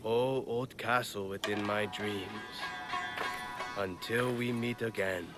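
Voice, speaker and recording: A man playing a proud, grieving, vengeful feudal Japanese lord in a video game cutscene, measured and poetic. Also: fairly low pitch, fairly even intonation, loud music behind the voice. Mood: wistful.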